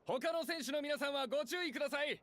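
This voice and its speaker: An actor playing a courteous, formal tournament referee in an anime fantasy game, making official announcements. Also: male voice, extremely high pitch, racing, fairly bright tone, no echo.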